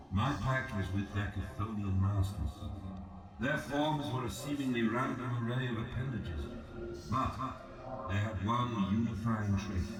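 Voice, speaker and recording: An actor playing an ancient, regal god in a fantasy video game, speaking in a grave, measured, formal and aloof manner. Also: male voice, lowish voice. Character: ancient, solemn, regal dark god recounting his fallen empire. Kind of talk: scripted game dialogue, slow formal monologue. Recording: roomy echoing voice, distant, music behind.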